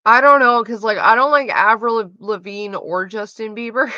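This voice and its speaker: A Canadian woman chatting loosely on a livestream, rambling with lots of swearing. Her voice is bright and forceful. The sound is dull and lo-fi in a dry, dead space. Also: a little high, moderate pace, no room sound. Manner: rambling and profane, loose with frequent fillers. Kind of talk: casual livestream chat with viewers.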